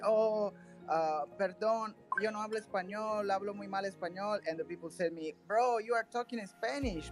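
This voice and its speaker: A man whose first language is Italian, chatting casually in a live audio room and telling a lively story with laughs. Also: on the high side. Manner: animated storyteller, laughs while talking. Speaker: community member. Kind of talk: casual chat.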